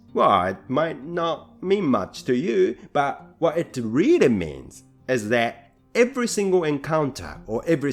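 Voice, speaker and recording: A man from Japan with a Japanese accent who hosts a solo podcast as a rakugo performer, talking in an earnest, reflective way with a light self-deprecating humour. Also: mid-range voice.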